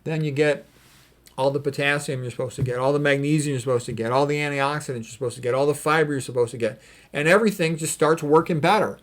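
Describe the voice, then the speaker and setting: A man speaking informally as a doctor giving health advice, blunt and opinionated, leaning on repeated phrases for emphasis. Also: fairly high pitch. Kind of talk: informal commentary on read quotes.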